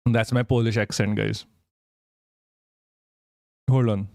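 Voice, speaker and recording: A man's voice: fairly low pitch, little pitch movement, slow pace, vocal fry, a little mellow, no room sound, clean studio sound. A man live streaming, chatting casually and reading his chat, rambling and swearing freely as he jokes. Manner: rambling, profane, jokey, reads chat aloud.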